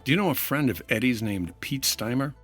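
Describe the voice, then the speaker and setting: A male actor as a hardboiled insurance investigator in a radio detective play. His mid-pitched voice is forceful and clipped, dry and wry, with faint music behind. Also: animated, a little fast, slightly rough. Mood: probing, firm. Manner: clipped, matter-of-fact, wry. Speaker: actor in a comedy audio drama. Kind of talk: old-time radio detective acting.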